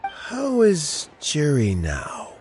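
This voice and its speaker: A man's voice: on the high side, huge pitch swings, extremely slow, darkish tone, full character voice. A man playing a dignified, reflective older statesman in a video game, formal, humble and thoughtful. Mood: grave, inquiring. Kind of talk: video game character voice acting. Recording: music playing behind the voice.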